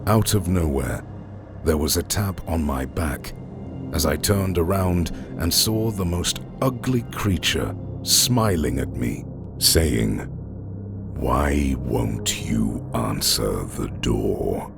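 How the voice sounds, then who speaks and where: A man reading scripted horror stories as a narrator, in a deliberate, suspenseful way with drawn-out phrase endings. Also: deep voice, big pitch swings. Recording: music under the voice. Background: background music.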